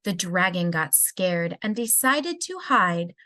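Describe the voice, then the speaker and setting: A woman reading a rhyming children's story aloud in an expressive storybook style. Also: fairly low pitch, slow pace, no room sound, clean studio sound. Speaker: storybook reader.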